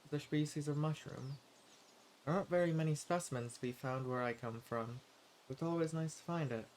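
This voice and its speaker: A man's voice: mid-range voice, slight background noise, lightly acted. Man acting a polite young-hearted forager adventurer, birdsong behind. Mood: excited, eager. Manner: polite, earnest, gently hesitant. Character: friendly male adventurer and keen forager, polite, eager. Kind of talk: fantasy roleplay audio drama, addressed to the listener. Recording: clear outdoor nature ambience with birdsong. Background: forest ambience, birds.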